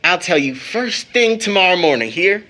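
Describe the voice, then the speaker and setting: A bright young man with very lively, swinging intonation. He is good-natured and jovial, teasing his way through an audio drama. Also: high-pitched, normal speed.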